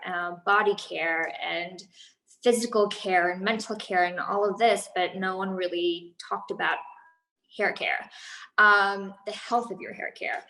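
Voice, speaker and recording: A woman's voice: mid-range voice, sharp tone, reverberant, rough recording. A woman in her thirties, a business founder, chatting casually in an interview with many fillers and restarts. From Los Angeles, USA.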